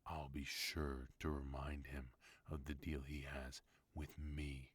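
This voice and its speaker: A man voicing a ruthless mafia boss who is also a husband, in an ASMR audio roleplay. His voice is extremely deep, and he drawls slowly with cold, measured menace. The sound is dry and close to the mic. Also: level tone, breathy edge, no echo, heavily acted. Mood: cold, threatening.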